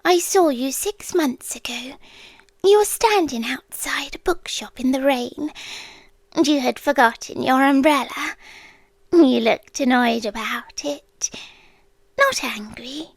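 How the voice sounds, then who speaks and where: Woman voicing a slow, whispering British cosmic female entity. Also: sits high, dry recording, heavily acted. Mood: tender, fascinated. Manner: slow, hushed, deliberate delivery with long pauses. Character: ancient female end-of-the-world entity, lovestruck, intimate, obsessive. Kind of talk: whispered ASMR roleplay. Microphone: close, dry studio microphone.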